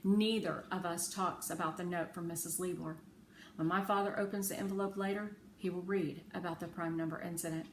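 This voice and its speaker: A woman narrating a children's story aloud in a measured, clearly phrased way. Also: lowish voice, fairly even intonation. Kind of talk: children's audiobook-style storytelling. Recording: roomy echo with faint background noise.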